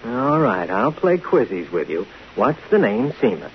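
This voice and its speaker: A grumbling American police lieutenant with a sardonic, lively swing to his lines. It is a muffled, faintly hissy 1950s radio mystery. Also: medium pitch, a little slow, gravelly, heavily acted. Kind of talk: 1950s American radio detective drama acting.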